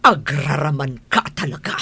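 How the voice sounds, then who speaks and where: A woman's voice: sits low, expressive, normal speed, gravelly, sharp tone, slightly rough, full character voice. A woman with an Ilocano Filipino accent playing a haughty, scornful rich madam and possessive mother, scolding imperiously in a radio drama. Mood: furious, disapproving.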